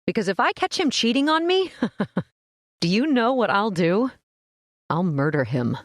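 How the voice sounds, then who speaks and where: A woman's voice: on the high side, sing-song, measured pace, no room sound, studio quality. A woman playing a jilted, possessive girlfriend in an old-time radio horror drama, speaking in a curt, pointed way. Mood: bitter, menacing.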